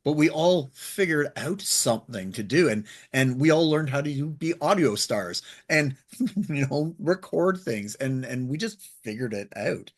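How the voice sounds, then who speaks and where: Husky, animated male interviewer. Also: medium pitch, a little slow. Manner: enthusiastic, effusive. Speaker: interviewer, host. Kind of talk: casual chat interview.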